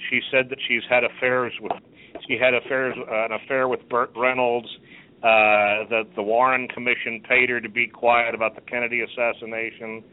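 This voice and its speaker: A middle-aged man hosting a radio chat show, rambling and halting through many restarts. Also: mid-range voice, smooth. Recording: narrow phone-like line.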